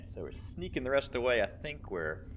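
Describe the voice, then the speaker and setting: Guy doing casual game commentary, thinks out loud, voice swings up and down a lot, noisy recording. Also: medium pitch, very animated, a little fast. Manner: rambling, thinking aloud. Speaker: gaming video host.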